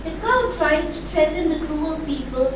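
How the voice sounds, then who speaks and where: High-pitched Indian woman in an echoey hall. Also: lively intonation, normal speed. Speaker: puppet show narrator and host. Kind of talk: storytelling to children at a school show. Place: large echoey room at a school event. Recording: echoey noisy hall, distant mic.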